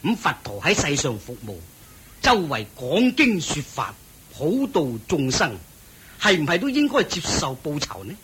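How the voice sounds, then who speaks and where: An actor playing a stern, thrifty wealthy master of a household in a radio drama, commanding and lecturing. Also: male voice, on the high side, wildly swooping pitch, measured pace, fairly bright tone, a little hiss or hum, heavily acted. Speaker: head of household.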